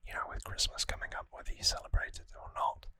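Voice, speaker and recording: An ASMR host speaking in a breathy, unvoiced whisper, gentle and earnest, slightly rambling to viewers, close on the microphone. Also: fast, no room sound. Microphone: close microphone in a dry space.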